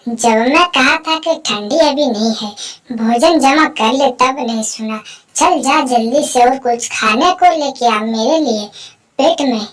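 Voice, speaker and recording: A very high, slow-spoken cartoon crow wife, played by a woman. She is bossy and hungry, nagging and ordering her mate about like a scolding housewife in an animal fable. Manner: nagging, commanding. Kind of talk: Hindi children's animated fable dialogue.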